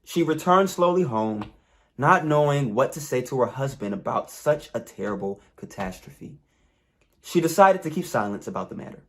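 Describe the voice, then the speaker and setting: A man reading a folktale aloud as a storyteller, with measured, dramatic phrasing and pauses. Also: medium pitch, expressive, a bit bright. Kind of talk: expressive scripted story reading.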